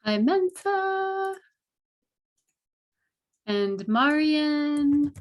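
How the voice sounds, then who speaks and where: A woman's voice: high-pitched, big pitch swings, very slow. A woman hosting an online panel, chatty and upbeat, with frequent laughs and filler words. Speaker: host.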